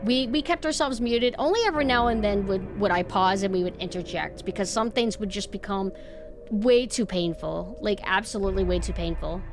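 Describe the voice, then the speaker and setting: A woman's voice: medium pitch, brisk, background noise. A young woman with a nasal voice chatting casually on a stream. She rambles, swears freely and is sarcastic.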